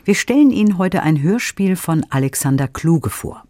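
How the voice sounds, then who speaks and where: A man's voice: high-pitched, animated, normal speed, mellow, no echo. A man hosting a radio review programme, presenting scripted introductions and questions in an orderly, informative way. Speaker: radio host. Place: dry studio.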